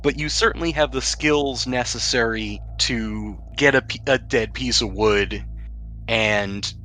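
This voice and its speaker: Bright-voiced man running a tabletop game. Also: mid-range voice, a little slow, a little hiss or hum. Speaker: game master. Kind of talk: casual explaining while running a tabletop game.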